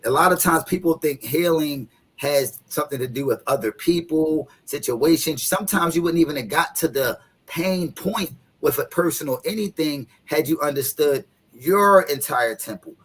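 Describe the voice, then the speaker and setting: A man in his thirties, a guest coach, speaking in a relaxed, reflective way and often adding "you know what I mean". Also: fairly high pitch. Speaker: podcast guest, encouragement coach. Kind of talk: reflective casual conversation.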